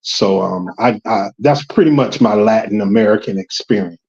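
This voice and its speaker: A man's voice: on the low side, moderate pace, darkish tone, highs cut off. A man from Georgia with a light Southern US accent, talking on a casual date in a rambling, hesitant way. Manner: rambling, hesitant, with many ums. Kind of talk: casual getting-to-know-you chat.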